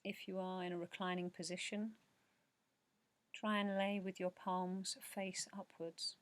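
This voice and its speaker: Soft low woman doing guided meditation, flat soothing tone, slow w lots of pauses, kinda breathy at the ends. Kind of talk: guided meditation narration, yoga nidra.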